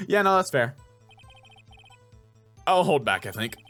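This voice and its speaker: A man's voice: mid-range voice, big pitch swings, measured pace, fairly bright tone. A man hosting a game playthrough with casual commentary, music playing behind him. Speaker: gaming video host, voice actor.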